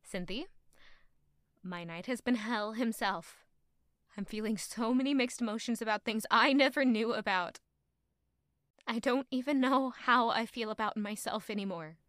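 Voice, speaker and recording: A woman's voice: on the high side, normal speed, a bit bright, no echo, studio quality, lightly acted. A woman playing a stubborn, guarded young noblewoman in an audio drama, speaking in a curt, sulky way. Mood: weary, conflicted.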